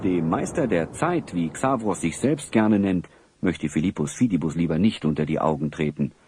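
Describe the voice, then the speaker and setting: A man narrating a scripted audio drama as a storyteller, with a husky voice. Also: low-pitched, animated, moderate pace, dark tone, slight background noise.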